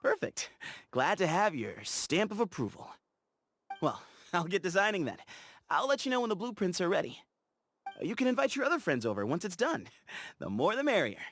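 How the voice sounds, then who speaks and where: An actor voicing an easygoing young desert scout in a game, talking in an upbeat, playful way. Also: male voice, sits high, wildly swooping pitch, moderate pace, fairly bright tone, soft background music, heavily acted. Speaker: game character. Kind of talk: game voice lines.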